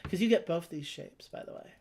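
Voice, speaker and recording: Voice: medium pitch, a little fast, a little mellow. A person chatting casually over a board game, talking through options and thinking aloud as co-host. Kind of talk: casual chat while playing a board game.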